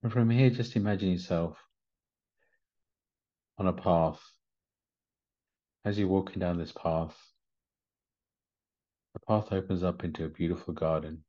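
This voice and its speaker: A man leading a guided meditation in a gentle, soothing manner, with short unhurried instructions. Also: sits low, steady tone. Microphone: video call. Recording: slightly muffled video call audio.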